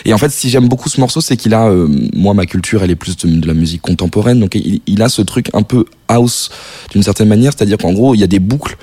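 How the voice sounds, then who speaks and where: A man's voice: on the low side, fast talker, dark tone, slightly rough, dry recording. A man visiting a radio studio as a guest, chatting with enthusiasm and slang. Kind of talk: casual radio chat.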